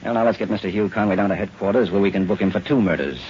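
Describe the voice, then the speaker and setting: An actor playing a hearty, jovial police inspector in old-time radio crime drama style. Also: male voice, on the low side, rapid-fire, a little mellow, natural voice. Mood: jovial, triumphant. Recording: dull, slightly noisy vintage radio recording.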